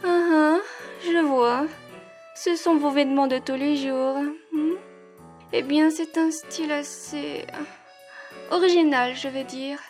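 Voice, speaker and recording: A woman's voice: high voice, fairly even intonation, slow, long pauses, a little mellow, faint music behind. A woman playing a gracious, effusive steampunk duchess in a French audio roleplay, with refined, airy, polite delivery. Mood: politely awkward.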